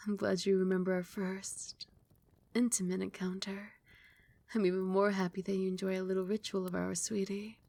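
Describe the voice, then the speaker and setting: Soft, whispery vampire queen acted by a woman. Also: medium pitch, level tone, moderate pace. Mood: intimate, praising. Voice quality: soft, breathy delivery, often dropping to a whisper. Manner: tender, soothing, regal endearments. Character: doting, possessive vampire queen soothing her beloved pet. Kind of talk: ASMR roleplay.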